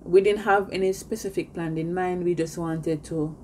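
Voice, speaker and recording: A young woman with a Jamaican accent, talking to her channel's viewers in an earnest, measured way as she films herself indoors. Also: lowish voice, steady tone, dark tone, slight background noise. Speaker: vlogger. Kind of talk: casual talk to camera. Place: indoors in front of a pink backdrop.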